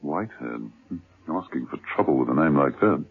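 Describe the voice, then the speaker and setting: An actor playing a suave British spy in a 1970s radio play, dry and flirtatious. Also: male voice, a bass voice, lively intonation, brisk, vocal fry, breathy edge, heavily acted. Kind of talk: 1970s radio drama acting. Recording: tinny lo-fi old radio broadcast.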